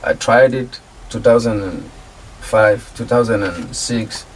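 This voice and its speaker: A laid-back man with a lowish voice tells his story as a radio guest, easygoing and slangy. He sits a little back from the mic, and a light hiss runs underneath. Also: slow pace, distant mic, rough recording. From South Africa. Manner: relaxed, laid-back slang, laughs easily. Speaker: guest music producer and artist. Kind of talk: casual interview talk.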